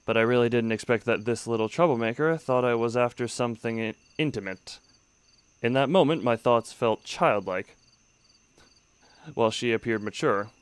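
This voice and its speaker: Clear-voiced male game streamer. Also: medium pitch, normal speed, no echo. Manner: breaks off mid-sentence and restarts, wry asides. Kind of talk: let's-play commentary and reading aloud.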